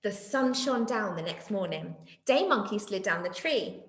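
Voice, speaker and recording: A woman's voice: medium pitch, lively intonation, measured pace. A woman reading stories expressively to young children as a schoolteacher would, addressing them directly. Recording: echoey room.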